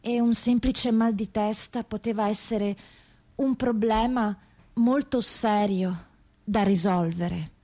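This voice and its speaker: A woman performing a dramatic radio theatre monologue as a testimony, with measured, grave and deliberate phrasing. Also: mid-range voice, warm tone. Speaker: actress reading prisoners' testimonies.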